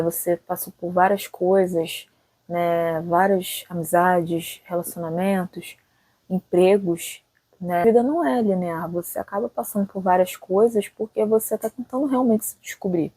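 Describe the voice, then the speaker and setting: Dark-voiced young Brazilian-born woman musing aloud. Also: on the low side, no room sound, poor audio. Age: late 20s. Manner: chatty, thinking aloud, frequent "né" tags. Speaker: channel host. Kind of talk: casual reflective vlog talk.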